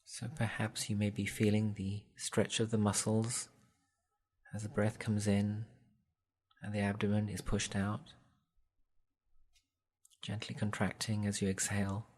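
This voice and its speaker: A man with a low-pitched, husky voice leads a guided body scan meditation. He speaks gently and soothingly, giving measured instructions and leaving long pauses between phrases. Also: completely flat delivery.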